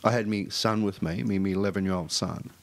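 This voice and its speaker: A man's voice: deep voice, steady tone, a little fast, creaky. A middle-aged man who stutters, telling a story in casual chat as a radio show co-host. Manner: stutters, with repeated restarts.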